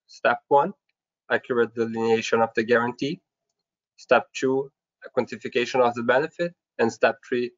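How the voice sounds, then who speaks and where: A man presents slides in a formal, scripted way, setting out points one step at a time. His pitch sits in the middle range. The sound is dull and lo-fi, like an online webinar call.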